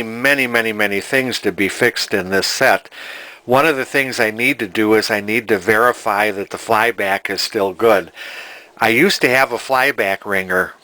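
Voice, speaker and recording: Low-voiced older man, halting delivery. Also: pushed and bright, rough recording. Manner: halting, hesitant, rambling. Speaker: vintage TV restorer, video host. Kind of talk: casual spoken workbench vlog. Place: cluttered indoor home workshop.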